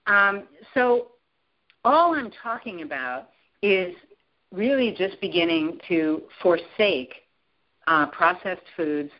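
A woman guest in an interview, talking with emphatic enthusiasm and often jumping up in pitch to stress a point. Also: animated, slow pace, dull audio. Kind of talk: animated interview talk.

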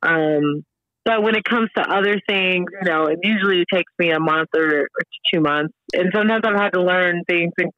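A woman's voice: fairly low pitch, normal speed, dull audio. An American woman from the US South, guest on an interview, talking casually with frequent laughs and fillers. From Georgia, US. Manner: animated, laughs often, many fillers. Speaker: guest opera singer.

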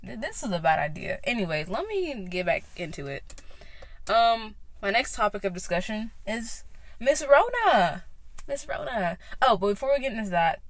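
A woman in her late teens hosting her own podcast, talking in a chatty, rambling way with lots of fillers and sudden high-pitched exclamations, recorded inside a car. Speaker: podcast host. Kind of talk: casual solo podcast chat.

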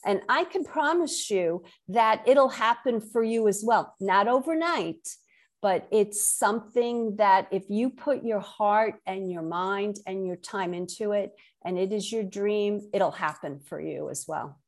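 A woman's voice: medium pitch, unhurried. A middle-aged woman with a Brooklyn accent, speaking conversationally as an author and guest in an interview. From Brooklyn, New York.

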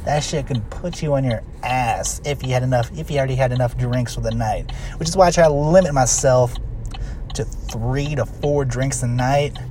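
A man's voice: medium pitch, normal speed, dark tone. Young guy in his twenties, casual driving vlog, profane and rambling, road noise behind him. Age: 20s. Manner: profane, rambling, frequent fillers. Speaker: vlogger. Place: in a car driving.

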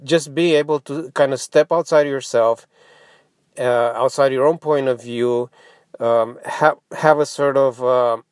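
A man whose first language is Romanian, giving an informal explanatory podcast monologue in a halting, reflective manner with frequent pauses. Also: mid-range voice, smooth, dry recording, clean studio sound. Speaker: podcast host.

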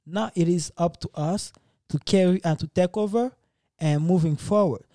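A man's voice: sits high, moderate pace, dark tone, no echo, studio quality. A man about thirty hosting a casual talk show, laughing often and making earnest points. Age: 30s. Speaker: host. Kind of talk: casual chat.

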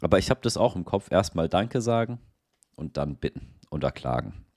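A man co-hosting a casual theological podcast, explaining in a relaxed way and thinking aloud with many fillers. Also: deep voice, normal speed, no echo, clean studio sound. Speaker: podcast co-host.

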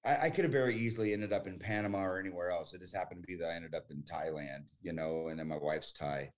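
A man's voice: sits low, quick pace, a little hiss or hum. Chatty American man in his forties. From United States. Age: 40s. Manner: chatty and emphatic, with frequent restarts. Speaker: guest. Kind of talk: casual conversation.

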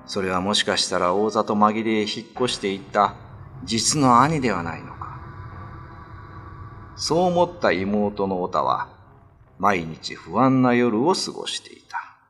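A man's voice: on the low side, lively intonation, a little slow, a bit bright, soft background music. A man narrating an old ghost story in a scripted, dramatic radio reading style.

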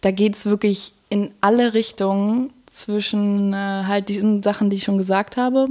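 A woman's voice: medium pitch, fairly even intonation, normal speed, dark tone. A woman in her twenties from Berlin with a Berlin accent, a podcast guest talking eagerly with lots of "ähm" fillers and easy laughter. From Germany. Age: 20s. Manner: enthusiastic, laughs often, many fillers. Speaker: podcast guest, design student. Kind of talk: casual chat.

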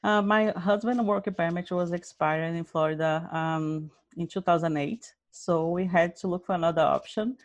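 A woman's voice: on the low side, steady tone, moderate pace, hardly any pauses, no echo, dull audio. Earnest woman, dry sound. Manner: earnest, simple non-native phrasing. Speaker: interview guest, Bible study leader. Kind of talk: personal testimony in an interview.

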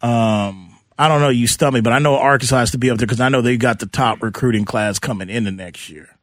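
A man's voice: medium pitch, fast talker, dry recording, clean studio sound. Man, sports radio host, casual talk, conversational, mid-range voice. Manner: informal, conversational.

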